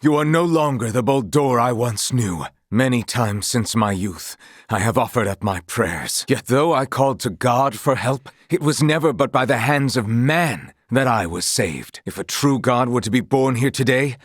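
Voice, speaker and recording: An actor playing an earnest, honorable young knight in a fantasy video game, speaking in formal, archaic, measured phrases. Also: male voice, medium pitch, no echo, studio quality, close to an everyday voice. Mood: solemn. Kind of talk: dramatic video game voice acting.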